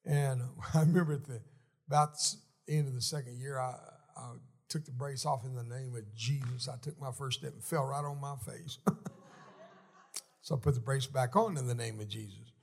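A man in his seventies giving a folksy, joking testimony sermon while seated in a church, often halting and restarting. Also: mid-range voice, creaky. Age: 70s. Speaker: guest speaker at a church.

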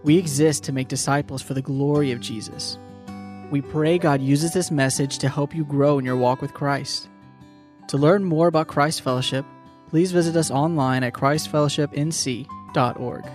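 Man announcing over soft guitar. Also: fairly high pitch, steady tone, moderate pace. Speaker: church announcer. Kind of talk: scripted announcement.

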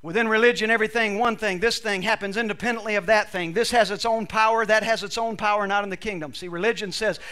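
A man's voice: sits high, fairly even intonation, fast, few pauses, bright, forceful tone. A man preaching a sermon to a congregation, reading scripture with emphatic, repetitive phrasing while losing his voice. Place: church service with a congregation.

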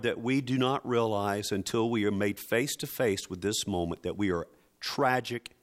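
A man preaching a sermon in an emphatic, dramatic and deliberate way, building through repeated phrases. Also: medium pitch.

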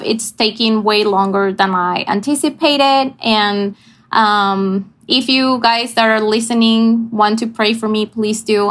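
A woman from Venezuela, a missions pastor chatting casually over a video call, laughing often and pausing on fillers. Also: fairly high pitch, fairly bright tone. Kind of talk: casual interview chat. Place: family home.

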